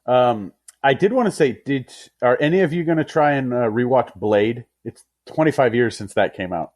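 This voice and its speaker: A man with a mid-pitched voice chats casually as a podcast co-host. His intonation swings widely, and the sound is very dry. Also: lively intonation, moderate pace, darkish tone, no echo. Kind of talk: casual chat.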